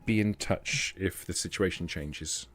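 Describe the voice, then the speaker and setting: A man voicing a formal, guarded vampire woman with careful, measured wording, in a tabletop game. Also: sits low, a bit bright, soft background music.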